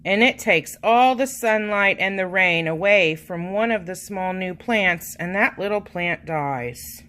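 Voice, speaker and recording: A woman reading a children's picture book aloud as narrator, with expressive, dramatic emphasis and drawn-out words. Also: mid-range voice. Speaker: children's storybook reader.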